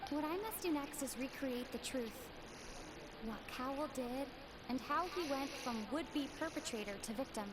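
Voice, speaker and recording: Young game investigator, high voice, earnest, measured deduction, loud sound behind. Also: female voice, expressive, very noisy. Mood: earnest, reasoning. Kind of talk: video game voice acting.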